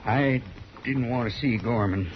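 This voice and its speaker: A man's voice: mid-range voice, a little slow, lightly acted. A man playing an uneasy, plain-spoken frontier sidekick with an American western accent and a hesitant manner in a 1950s radio drama. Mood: subdued, hesitant. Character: uneasy frontier sidekick, male, avoiding trouble. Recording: dull, noisy 1950s radio recording.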